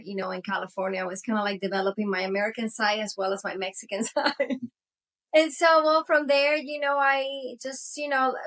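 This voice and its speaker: A woman's voice: fairly high pitch, fairly bright tone. Chatty Venezuelan-born woman, rambling storyteller. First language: Spanish. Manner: rambling, many "you know" fillers, laughs often. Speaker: tequila brand co-founder, guest. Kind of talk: casual interview storytelling.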